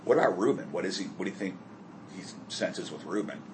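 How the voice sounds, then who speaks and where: A man's voice: low-pitched, animated, fast, background noise. A man leading a group Bible study discussion, asking the group questions and correcting himself as he goes.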